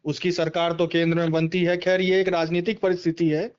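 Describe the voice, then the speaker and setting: A man's voice: fairly high pitch, flat delivery, brisk. A man giving a political interview over the phone, speaking emphatically and often repeating himself in agreement. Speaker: political party member and former election candidate, interviewee. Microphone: phone call. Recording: dull, band-limited phone call recording.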